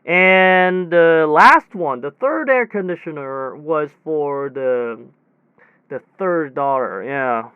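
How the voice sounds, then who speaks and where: A man playing a technician in an audio drama, recounting his workday in a halting, rambling, repetitive way, often interrupting himself. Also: a little high, lively intonation, clear, resonant tone, no room sound, narrow, tinny audio. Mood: rambling recollection. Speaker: air conditioner installation technician. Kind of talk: conversational audio drama monologue.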